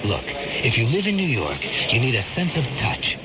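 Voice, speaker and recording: A man's voice: mid-range voice, huge pitch swings, normal speed. A man reading a punchy scripted radio commercial from the 1970s, on a hissy old aircheck.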